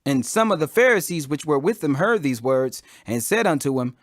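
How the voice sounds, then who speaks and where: A man's voice: on the high side, very animated, normal speed, no room sound, clean studio sound. A man reading scripture for an audiobook in a dramatic, emphatic, declamatory way. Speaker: Bible reader.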